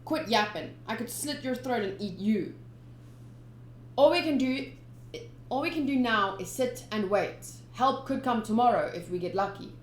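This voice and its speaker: A woman's voice: fairly high pitch, lively intonation, moderate pace, a little hiss or hum, close to an everyday voice. A woman voicing a stern old male pirate captain who speaks grimly and matter-of-factly in an echoey room. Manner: grim, matter-of-fact threats.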